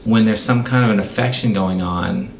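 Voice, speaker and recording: Guy cohosting a tv podcast, gravelly creaky voice, casual, noisy background. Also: lowish voice, normal speed. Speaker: podcast co-host. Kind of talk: casual TV rewatch chat.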